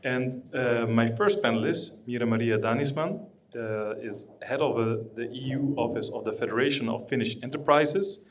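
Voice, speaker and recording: A man's voice: medium pitch, normal speed. A man moderating a conference panel, chatty and hesitant, often repeating his words as he introduces guests. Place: conference room. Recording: echoey hall with faint ambience.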